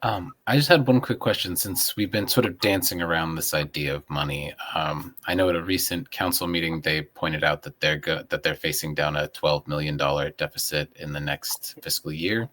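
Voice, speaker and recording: A man's voice: very deep voice, fairly even intonation, normal speed, few pauses, fairly bright tone. A man hosting a conversational interview, phrasing his points carefully and a little tentatively. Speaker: interviewer, show host.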